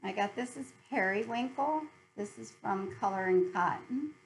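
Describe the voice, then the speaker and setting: A woman's voice: mid-range voice, lively intonation, normal speed, slight background noise, rough recording. A middle-aged American woman chatting casually and cheerfully to viewers as host of a hobby video channel.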